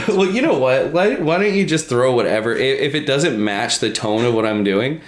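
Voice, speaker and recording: A man's voice: medium pitch, expressive, moderate pace. A man co-hosting a comedic podcast, chatting casually and joking with plenty of profanity. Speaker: podcast co-host.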